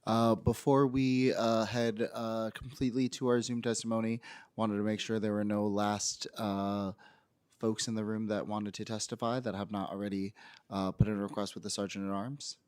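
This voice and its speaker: A man chairing a public council hearing, who calls on speakers in a halting way with many filler words. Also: lowish voice, flat delivery, a little mellow, smooth, no room sound. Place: city council hearing room.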